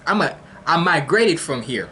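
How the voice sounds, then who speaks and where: A man's voice: a little high, big pitch swings, moderate pace, bright, forceful tone, a little hiss or hum. A man from Jamaica hosting a reaction video, talking casually and rambling with many restarts and slang.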